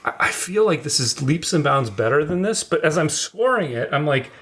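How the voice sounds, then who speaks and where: Middle-aged male co-host, light-pitched, reflective, halting delivery. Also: sing-song, fairly bright tone. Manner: thoughtful, repeats himself while searching for words. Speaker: co-host of spirits review show. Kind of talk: casual tasting chat.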